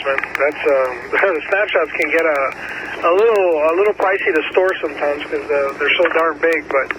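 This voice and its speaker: Noisy two-way radio, man, fairly high pitch, relaxed buddy talk, casual tech chat. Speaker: ham radio operator. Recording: noisy ham radio transmission.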